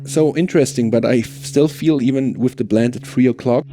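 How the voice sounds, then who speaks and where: A man's voice: mid-range voice, a little fast, loud music behind the voice. A man presenting a gear review in a casual, conversational way, thinking aloud as he compares things. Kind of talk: casual product demo commentary.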